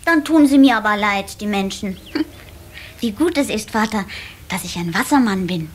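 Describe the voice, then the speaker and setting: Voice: medium pitch, animated, normal speed, close to an everyday voice. A performer playing a curious, wide-eyed little water sprite boy in a children's radio play, full of wondering questions. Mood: puzzled, pitying. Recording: slightly hissy old cassette recording.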